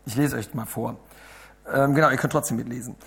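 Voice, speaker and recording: A hoarse man giving an animated sermon, chatty and asking the audience questions, swinging between loud bursts and low asides. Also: brisk. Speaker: preacher. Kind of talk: lively sermon to a congregation.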